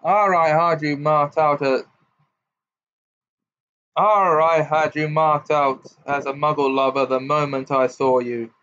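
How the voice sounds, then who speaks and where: A man's voice: fairly high pitch, slow pace. A man voicing a brutish, menacing old man who bellows and sneers, growling "arr". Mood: sneering. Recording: dull lo-fi recording.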